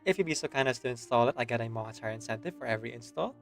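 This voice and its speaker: A man's voice: mid-range voice, lively intonation, racing, creaky, soft background music. A man with a Filipino accent chatting casually on a stream, easygoing and chuckling, with lots of fillers.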